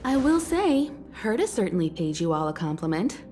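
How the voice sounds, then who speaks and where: An actress playing a poised, firm and sardonic crew leader in a video game, speaking formally and dryly. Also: female voice, fairly low pitch, big pitch swings, darkish tone. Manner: formal, measured, dryly stern. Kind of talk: English video game voice acting. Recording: background music under the voice.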